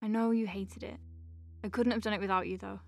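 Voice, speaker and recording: An earnest doctor at a space clinic , acted by a woman talks in a medium-pitched, natural voice. She is gently wry and self-deprecating, with slight hesitations. The delivery is intimate, conversational audio drama. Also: steady tone, a little fast, creaky, a little hiss or hum, natural voice. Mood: wry, relieved.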